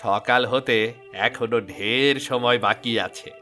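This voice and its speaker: A sly cartoon villain chuckles over his own scheming, played by a man. His low, lower-than-usual voice has a tense, bright bite. Faint music sits behind him in this animated folk tale. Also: takes it slowly. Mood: gloating. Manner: gloating, chuckling to himself. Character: scheming jealous male village neighbor, gloating. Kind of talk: animated storybook voice acting.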